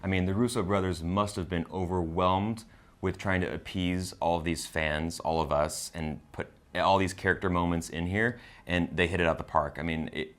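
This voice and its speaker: A man's voice: low voice, fairly even intonation, normal speed. A man co-hosting a film review in casual chat, enthusiastic and emphatic. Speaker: co-host of a film review show.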